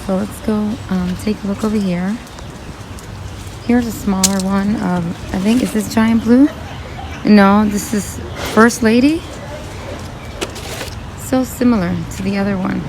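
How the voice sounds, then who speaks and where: A woman with a slightly raspy voice, chatting casually to her viewers as she browses plants in a garden center. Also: mid-range voice, steady tone, a little slow, long pauses, noisy background. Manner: chatty, thinking aloud while browsing. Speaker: vlogger. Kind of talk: casual shopping vlog talking to viewers. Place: garden center of a large store.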